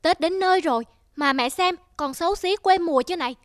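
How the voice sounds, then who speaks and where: A woman voicing a selfish young adult son in an animated moral tale, whining and complaining demandingly. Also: extremely high pitch, brisk, no echo. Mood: whiny demanding. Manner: petulant, complaining.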